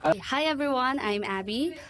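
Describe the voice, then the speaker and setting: A woman's voice: high-pitched, animated, a little slow, fairly bright tone. A young woman from the Philippines giving short, plain interview answers. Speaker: group member.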